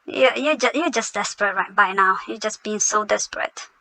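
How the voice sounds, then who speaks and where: A woman's voice: medium pitch, brisk, creaky, bright, forceful tone, no room sound, low-quality recording. A woman, not an English speaker, reacting to a video in an indignant, mocking tone. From Somalia. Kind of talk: reacting to a clip.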